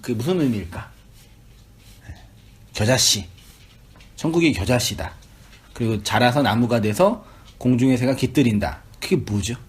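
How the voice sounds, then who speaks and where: A man, a pastor, leading a small-group Bible study in a relaxed, chatty way, asking the group questions and laughing easily. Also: medium pitch, normal speed, pauses often. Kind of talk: casual Bible study teaching.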